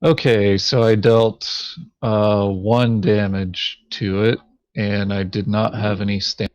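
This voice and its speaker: A man's voice: on the low side, little pitch movement, slow, darkish tone, smooth, dry recording. A man talking casually and matter-of-factly while playing a tabletop game. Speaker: board game player. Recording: dull lo-fi sound.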